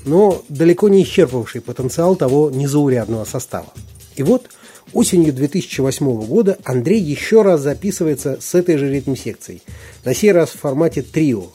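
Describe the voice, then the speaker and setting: Higher-pitched man, mellow, slightly husky narration. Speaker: music reviewer, presenter. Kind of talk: scripted music review narration.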